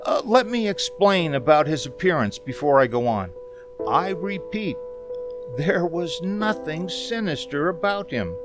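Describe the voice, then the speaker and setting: An actor playing an uneasy, haunted motorist who tells his story in a hesitant, deliberate radio drama style. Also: a little high, big pitch swings, music in the background. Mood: uneasy, brooding. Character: uneasy, haunted male motorist recounting a strange journey. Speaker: narrator and lead actor. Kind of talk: radio drama monologue, old-time radio acting.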